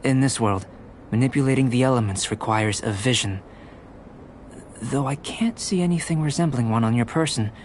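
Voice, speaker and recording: A man voicing a calm, curious young male alchemist in a video game, with precise, academic and politely detached delivery. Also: mid-range voice, a little hiss or hum, close to an everyday voice. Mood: curious, explaining. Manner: measured, precise, academic phrasing.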